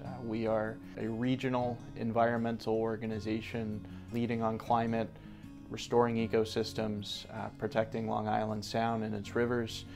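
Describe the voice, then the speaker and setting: A man's voice: lowish voice, creaky. A young man from Connecticut, an environmental justice organizer, answering interview questions earnestly with frequent restarts and fillers. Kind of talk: sit-down interview. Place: office gallery room.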